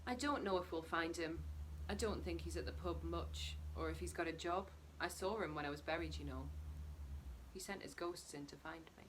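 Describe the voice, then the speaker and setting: A woman's voice: low voice, moderate pace, a little hiss or hum, natural voice, rough recording. A woman reading as a numb, weary middle-aged husband and father, laconic and guarded, in a storybook narration. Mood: uneasy.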